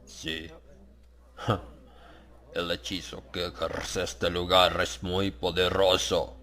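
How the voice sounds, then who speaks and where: A man plays an old monk of a sinister brotherhood in a horror audiobook. His low, gravelly voice moves slowly and solemnly. He is ingratiating and gravely reverent. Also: pushed and bright, full character voice. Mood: sly, welcoming.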